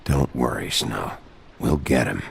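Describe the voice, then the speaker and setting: An actor playing a gruff, terse sheriff in a noir fairytale video game, blunt and laconic. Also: male voice, extremely low pitch, measured pace, a little hiss or hum, full character voice. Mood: low-key, reassuring.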